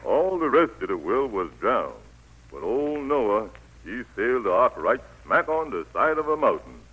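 An actor playing a kindly Bible teacher telling stories in an old radio play, husky and homespun. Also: male voice, medium pitch, big pitch swings, normal speed, a bit bright, rough recording. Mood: storytelling. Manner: plain, homespun storytelling. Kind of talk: 1940s-50s radio play acting. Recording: vintage radio broadcast recording.

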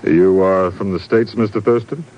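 An actor playing a guarded, formally polite European immigrant estate manager in a 1950s radio drama. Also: male voice, low-pitched, fairly even intonation, normal speed, natural voice. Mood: wary, polite. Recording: muffled 1950s radio recording.

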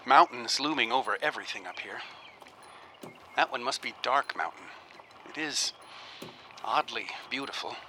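An actor playing an earnest, uneasy researcher in a radio drama, speaking in a halting, musing way as if keeping an audio diary. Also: male voice, medium pitch, animated, sharp tone. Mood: wondering, musing. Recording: faint noise behind the voice.

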